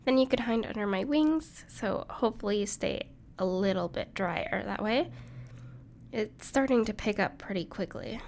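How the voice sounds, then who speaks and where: A woman playing a gentle, caring dragon friend in an intimate audio roleplay, speaking reassuringly to the listener with some hesitation. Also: mid-range voice, expressive, normal speed, gravelly, a little hiss or hum. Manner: soft-hearted, reassuring, hesitant with small laughs.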